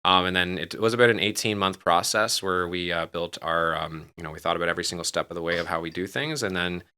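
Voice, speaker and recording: A man in his forties with a low but bright, forceful voice. He talks quickly in an informal, conversational interview style, often repeating phrases for emphasis. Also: a little hiss or hum. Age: 40s. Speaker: podcast guest, real estate team leader.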